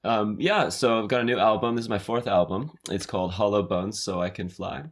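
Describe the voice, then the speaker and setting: A man from California, with an American accent tinged with Australian, chatting as a guest singer-songwriter in a relaxed, hesitant way full of fillers. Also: on the low side, a little fast. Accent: American, slightly Australian. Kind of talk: casual interview chat. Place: his home recording studio.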